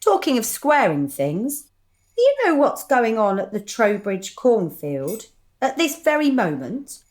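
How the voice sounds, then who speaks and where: A woman acting in a radio drama as a sensible club member with a brisk, wry manner. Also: fairly high pitch, huge pitch swings, a little mellow. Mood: surprised.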